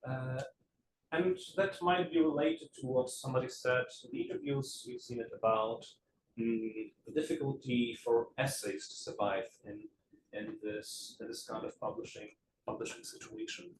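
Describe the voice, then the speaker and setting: A man in his thirties from Poland, a native Polish speaker, giving an academic talk in a measured, hesitant way with many fillers. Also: mid-range voice, background noise. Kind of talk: academic lecture. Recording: echoey room, mic at a distance.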